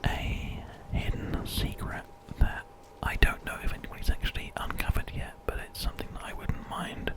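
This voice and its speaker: British male ASMR host for game commentary; constant breathy whisper, gentle and unhurried. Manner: soft, gentle, unhurried rambling.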